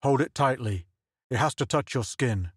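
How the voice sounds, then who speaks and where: A man's voice: medium pitch, lively intonation, normal speed, husky, no echo, studio quality. A man voicing a hunted, determined man who speaks in an urgent, fierce tone in an audiobook. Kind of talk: audiobook character voice.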